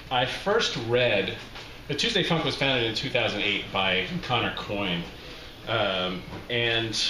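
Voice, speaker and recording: Middle-aged American male, wry live storyteller, forceful bright tone, roomy venue with faint noise. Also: fairly low pitch. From Utah, USA. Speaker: reading series host, memoirist. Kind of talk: live reading of a personal essay, with spoken introduction. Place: small indoor bar room with brick walls. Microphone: handheld-style microphone on stand, close.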